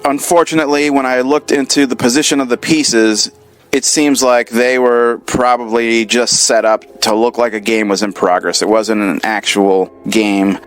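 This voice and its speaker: A man from the United States reading out a listener comment in a steady, methodical way. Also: medium pitch, moderate pace, clean tone, soft background music. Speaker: listener contributor.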